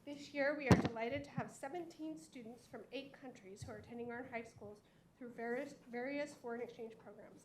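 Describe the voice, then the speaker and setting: A woman giving prepared remarks at a school board meeting, speaking in a measured, formal way. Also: a little high, a little mellow, slight background noise, poor audio. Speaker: district staff presenter. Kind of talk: formal public meeting address.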